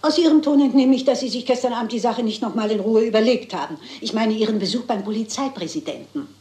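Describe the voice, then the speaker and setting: An actress playing a shrewd, unflappable elderly lady detective in a German crime radio play, speaking precisely and calmly. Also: a little high, fast talker, lightly acted. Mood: matter-of-fact, explaining. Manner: precise, composed, matter-of-fact. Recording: roomy, echoing radio play sound.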